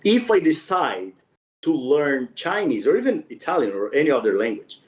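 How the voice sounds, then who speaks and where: A man's voice: medium pitch, very animated, normal speed. A man whose first language is Portuguese, talking earnestly as a podcast guest, with some non-native phrasing. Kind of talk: casual interview talk.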